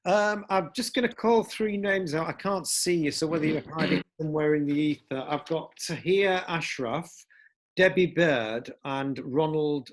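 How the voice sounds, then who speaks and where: Brisk man moderating a call. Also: on the high side. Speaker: session moderator. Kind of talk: online Q&A moderating.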